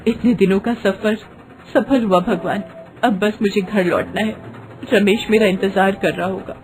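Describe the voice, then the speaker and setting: A woman's voice: medium pitch, fairly even intonation, measured pace, darkish tone, lightly acted, low-quality recording. A woman voicing a destitute, devout young pregnant wife in a plaintive, beseeching way for a story. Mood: hopeful.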